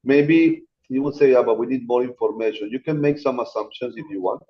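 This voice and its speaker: A man giving a guest lecture over a video call in a relaxed, chatty teaching manner. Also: mid-range voice, fairly quick, dull audio. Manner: casual, chatty teaching, addresses students as guys. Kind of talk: informal online lecture.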